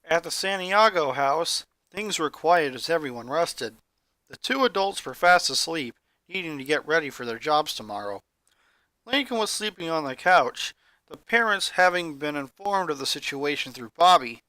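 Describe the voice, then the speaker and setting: A bright voice narrates a scripted story. The pitch moves animatedly from phrase to phrase, and the sound is dry, with little room in it. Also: animated, moderate pace, no echo. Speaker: fan fiction reader.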